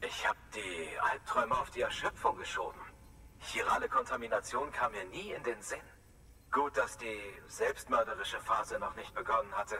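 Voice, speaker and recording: A man's voice: fairly low pitch, lively intonation, moderate pace, recorded from a distance, a crowd in the background, poor audio. An actor playing an anxious, relieved male scientist in a dubbed video game, speaking earnestly and confidingly. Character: anxious, relieved male scientist hologram, devoted to his research.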